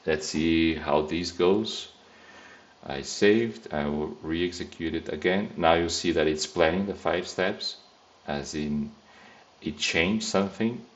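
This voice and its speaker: A man with a Brazilian Portuguese accent speaks in a very low, deep voice, thinking aloud in a loose, casual way as he works through a screen-recorded coding demo. The sound is a little dull and lo-fi, as if from a desk mic. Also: takes it slowly. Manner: casual, thinking aloud, frequent fillers and restarts. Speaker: software test engineer.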